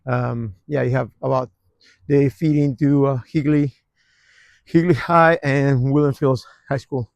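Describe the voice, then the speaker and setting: A middle-pitched man, chatting his way through an outdoor neighborhood tour. His voice carries some gravel and sounds dry and close to the mic. He rambles easily, restarting sentences and leaning on fillers. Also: steady tone, measured pace, dry recording. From Argentina. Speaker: real estate vlogger. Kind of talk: walking tour vlog.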